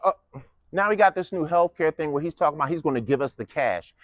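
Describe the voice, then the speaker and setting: A man's voice: on the high side, lively intonation, fast talker, dry recording. A man in his fifties delivering a casual, mocking political monologue with lots of rhetorical questions. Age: 50s. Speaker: vlogger giving a political speech.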